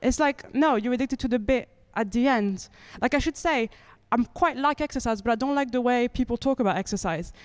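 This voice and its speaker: A woman's voice: a little high, a little fast, few pauses, no room sound. A young woman from France performing stand-up comedy with a wry, chatty delivery that keeps checking in with the audience. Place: comedy club stage.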